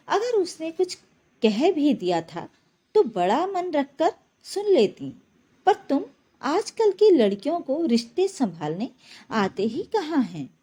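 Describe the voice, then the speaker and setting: A woman's voice: high voice, dark tone, full character voice. A woman voicing an older mother-in-law in a spoken story, coaxing and admonishing. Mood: reproachful. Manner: admonishing, persuasive.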